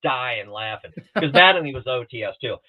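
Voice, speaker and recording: A man spins rambling, animated stories from his early pilot training, often restarting his sentences and acting out what people said. He speaks at an everyday mid pitch. His voice comes through a thin, phone-like remote call line. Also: normal speed. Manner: rambling, animated, with many restarts and acted-out quotes. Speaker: guest pilot telling stories. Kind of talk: casual storytelling on a talk show.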